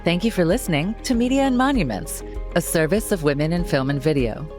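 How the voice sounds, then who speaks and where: A woman's voice: lowish voice, expressive, brisk. A woman reading a scripted podcast announcement. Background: faint music.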